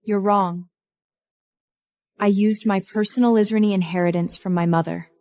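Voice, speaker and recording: A woman's voice: medium pitch, steady tone, normal speed, a little mellow, dry recording, close to an everyday voice. A woman acting a cool, defiant heiress who narrates her own revenge story in a scripted first-person drama. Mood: cool, firm. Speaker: narrator and lead of an audio drama.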